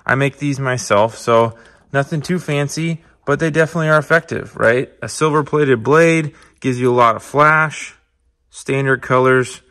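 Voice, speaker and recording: A man's voice: medium pitch, normal speed, fairly bright tone. A man hosting a fishing show, giving a casual, upbeat tackle tutorial from his shop and talking straight to viewers. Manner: upbeat, conversational, addresses viewers as guys. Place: indoor shop, tabletop demo.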